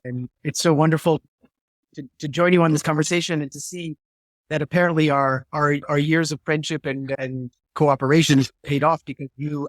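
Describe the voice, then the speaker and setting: Man in his fifties, higher voice, dry sound, reflective, restarts phrases, video call. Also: no echo. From New Hampshire, USA. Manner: thoughtful, repeats words as he searches for phrasing. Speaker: guest historian and theologian. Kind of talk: reflective interview answer.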